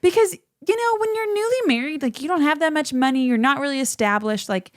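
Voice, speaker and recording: A woman's voice: high-pitched, lively intonation, brisk, dry recording, clean studio sound. A woman in her thirties, a podcast guest, chatting casually and laughing often. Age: 30s. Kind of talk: casual chat.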